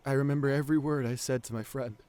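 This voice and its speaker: A man playing a grieving, devoted boyfriend in an emotional ASMR audio roleplay, pleading haltingly through tears with his voice breaking. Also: medium pitch, steady tone, darkish tone, clean tone, natural voice. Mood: sad, subdued. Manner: halting, repeats phrases, voice breaking into sobs. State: crying. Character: grieving devoted boyfriend pleading at comatose partner's hospital bedside.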